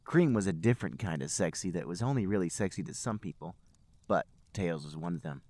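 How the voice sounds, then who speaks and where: A man's voice: sits low, expressive, a little fast, a little mellow, no room sound. A man hosting a podcast, reading comedic narration aloud. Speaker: podcast host and reader.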